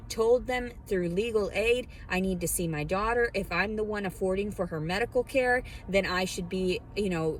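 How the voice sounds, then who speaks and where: A woman's voice: medium pitch, a little hiss or hum, rough recording. A woman vlogger ranting to her viewers, heated and defensive as she vents her frustrations. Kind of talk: personal rant to viewers.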